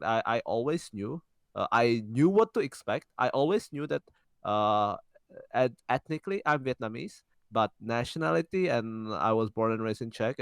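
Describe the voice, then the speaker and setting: Smooth-voiced young man, halting speech. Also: mid-range voice, dry recording. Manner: halting, frequent restarts and uh fillers. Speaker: podcast co-host. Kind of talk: casual conversational chat.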